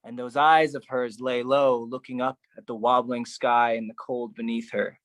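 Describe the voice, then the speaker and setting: A man performing a theatrical spoken-word poetry monologue, phrased deliberately and dramatically, with shifting intensity. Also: mid-range voice, flat delivery, a bit bright, no echo.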